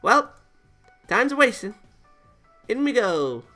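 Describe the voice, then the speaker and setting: A man voicing an excitable, bossy, impatient anime girl while reading a visual novel. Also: very high-pitched, slow pace, faint music behind, voice pushed up, exaggerated voice. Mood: eager.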